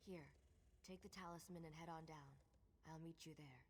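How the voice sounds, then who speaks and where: A woman's voice: sits low, fairly even intonation, normal speed, slightly rough. A woman voicing a plucky, wry mage in a video game, speaking in a conversational, matter-of-fact way. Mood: purposeful. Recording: game dialogue with music behind.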